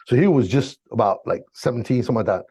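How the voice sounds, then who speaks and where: Middle aged american guy getting interviewed, talks super fast n slangy, gravelly fry in his voice. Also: medium pitch, expressive, darkish tone. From Miami, raised there, born in Chile. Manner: slangy, informal, frequent "you know" and "bro". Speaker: interview guest, music engineer. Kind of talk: casual storytelling.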